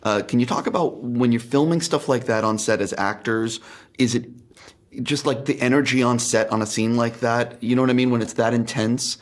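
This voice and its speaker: A man's voice: mid-range voice, fairly even intonation, moderate pace. A man working as an interviewer, chatting in an informal, enthusiastic way as he puts questions to his guests. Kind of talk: casual, conversational interview.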